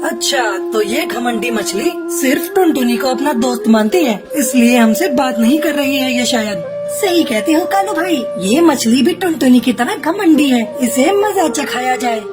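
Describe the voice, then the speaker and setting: A woman's voice: sits high, lively intonation, moderate pace. A woman voicing a jealous, spiteful male bird in a children's cartoon story, with a snide, scheming manner. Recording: music bed under the voice.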